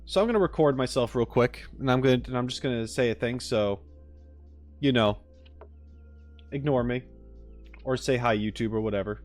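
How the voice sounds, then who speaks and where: A man's voice: mid-range voice, normal speed, long pauses, darkish tone. Man, casual livestream host, rambling chat, informal, self-deprecating, mid-range voice, music behind. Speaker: livestream host, game reaction streamer. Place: home streaming setup with green screen.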